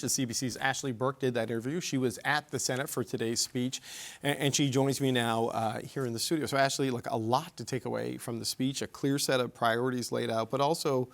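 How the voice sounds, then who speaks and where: A man's voice: mid-range voice, fairly even intonation, a little fast. A Canadian man anchoring a news show conversationally from a studio.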